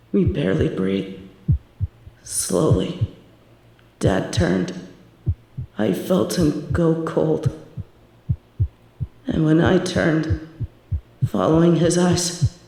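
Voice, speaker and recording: A young adult woman performing a scripted horror narration, telling the story in a hushed, tense manner. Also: low-pitched, lively intonation, extremely slow, creaky, long pauses, slight background noise. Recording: very echoey room.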